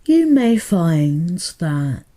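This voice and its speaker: A woman hypnotherapist guiding a meditation in a soothing, deliberate manner, pausing between short phrases. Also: fairly low pitch, sing-song, mellow. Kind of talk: guided meditation hypnotherapy narration.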